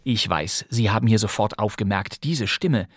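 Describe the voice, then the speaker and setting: A man's voice: lowish voice, moderate pace, dry recording. Chatty male podcast host, dry studio. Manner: lively, chatty, speaks to the listener. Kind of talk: scripted podcast narration. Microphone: close studio microphone.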